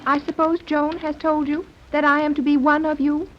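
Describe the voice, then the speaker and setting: A woman playing a young female commander in a 1930s radio serial, speaking in a stilted, formal way with a high nasal whine. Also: level tone, normal speed, close to an everyday voice. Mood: formal, detached. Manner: stilted, overly formal speech. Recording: dull, faintly noisy 1930s radio recording.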